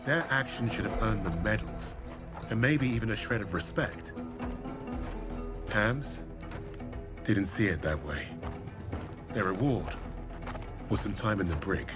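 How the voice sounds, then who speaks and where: Dry clipped narrator, lowish voice, loud score behind. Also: male voice, expressive, measured pace, pauses often, over music. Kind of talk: scripted game narration.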